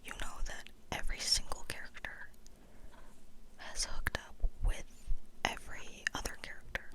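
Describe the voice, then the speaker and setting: A woman whispering a chatty, tongue-in-cheek ASMR monologue full of internet slang, sometimes repeating phrases slowly. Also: poor audio. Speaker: ASMR video creator.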